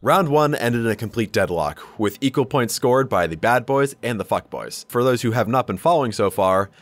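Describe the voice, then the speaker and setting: A man's voice: medium pitch, lively intonation, moderate pace, no room sound. A man co-hosting a podcast in casual, joking, sweary banter. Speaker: podcast co-host. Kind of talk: casual banter about tabletop wargaming.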